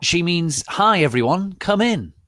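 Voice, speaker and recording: A man voicing officious school staff in a comic story reading, shrill and indignant. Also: sing-song, unhurried, fairly bright tone, no echo, clean studio sound, heavily acted. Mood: insistent. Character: angry, officious female school official, shouting.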